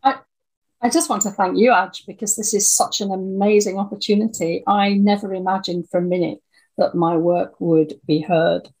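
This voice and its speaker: Slightly husky woman, conversational guest. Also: medium pitch, fairly even intonation, moderate pace, a little mellow, low-quality recording. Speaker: interview guest, audio dramatist. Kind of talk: conversational interview answers.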